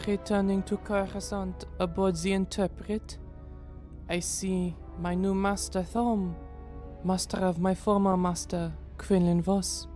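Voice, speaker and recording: A woman playing an earnest young female Jedi apprentice who speaks haltingly in a dramatic comic dub. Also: medium pitch, flat delivery, dark tone, faint music behind, close to an everyday voice. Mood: solemn, grateful.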